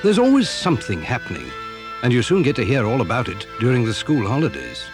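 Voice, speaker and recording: A middle-aged British man playing a genial, gently teasing father who tells a children's story with wry, avuncular charm. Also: medium pitch, wildly swooping pitch, fast talker, over music. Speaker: narrator and actor. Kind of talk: dramatised children's story narration.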